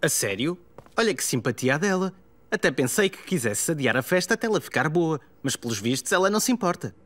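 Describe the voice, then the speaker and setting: A man's voice: a little high, very animated, quick pace, a bit bright. A man playing a friendly, easygoing young father in a children's cartoon, with a European Portuguese accent. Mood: surprised.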